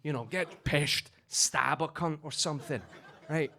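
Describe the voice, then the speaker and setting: A man's voice: on the high side, unhurried, creaky, sharp tone. A man from Romania with a Glaswegian accent doing a punchy stand-up set in a basement club. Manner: punchy, swings between voices. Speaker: stand-up comedian.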